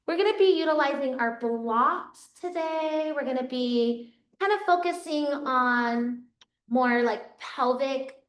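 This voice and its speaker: A woman yoga teacher guiding a class through slow, soothing cues, her voice a little raspy from losing it. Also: sits high. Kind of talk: guided yoga class instruction. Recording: echoey room, voice from far off.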